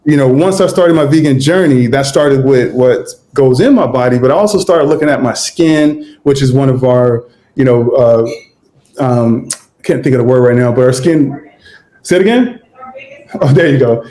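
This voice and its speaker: A man in his forties chats as an interview guest, unhurried and easygoing, at a middling pitch. He acts out what he once thought to himself. The room adds a noticeable echo around his voice. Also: dark tone. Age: 40s. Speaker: guest, vegan chef. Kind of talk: casual interview storytelling. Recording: roomy echo.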